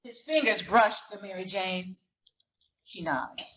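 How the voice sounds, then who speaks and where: A woman reading literary fiction dramatically, in measured, deliberate phrases with weighted pauses. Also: mid-range voice, expressive, fairly bright tone, muffled recording. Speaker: dramatic reader at a library performance. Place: live reading at a library event.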